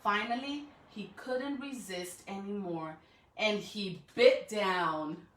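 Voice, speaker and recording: A woman's voice: medium pitch, slow. A woman speaking expressively to young students as a school educator doing a storybook read-aloud. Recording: echoey room.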